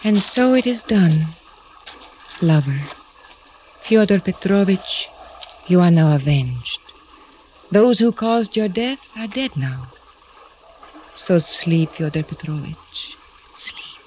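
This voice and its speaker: An actress playing a vengeful, grieving young Russian noblewoman in 1950s radio drama, slow, solemn and lyrical, murmuring her lines. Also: on the low side, animated, a little mellow, slight background noise. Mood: solemn triumph, then hushed tenderness. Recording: dull vintage radio recording.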